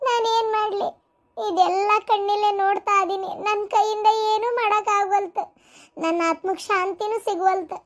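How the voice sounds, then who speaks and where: A young mother's restless ghost in a cartoon melodrama. The voice is extremely high, almost childlike, and plaintive. She laments in grief with a North Karnataka accent. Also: steady tone, normal speed. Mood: anguished, tearful. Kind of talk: melodramatic cartoon story acting.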